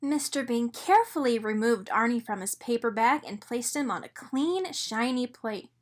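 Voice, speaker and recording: A woman reading a children's storybook aloud in an expressive narrating style. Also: a little high, normal speed. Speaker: storybook reader.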